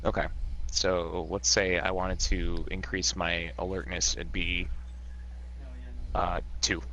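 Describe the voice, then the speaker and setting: A man's voice: low voice, flat delivery, takes it slowly, bright, forceful tone, slight background noise, rough recording. A man playing a tabletop game, casually asking questions about the rules and cursing now and then. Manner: casual, asks lots of questions, swears. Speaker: player.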